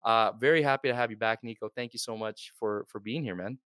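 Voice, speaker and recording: A man's voice: fairly low pitch, fairly quick, a bit bright, no room sound. A man hosting a podcast, talking in an earnest, friendly way with frequent fillers. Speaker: podcast host. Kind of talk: casual interview chat.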